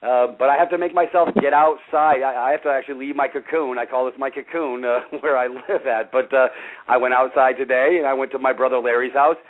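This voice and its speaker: A man in his fifties hosting a talk show, loud and passionate, rambling with many fillers. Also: medium pitch, fairly even intonation, fairly quick. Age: 50s. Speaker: radio show host. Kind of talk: casual talk show chat. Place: front porch outdoors. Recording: tinny phone line.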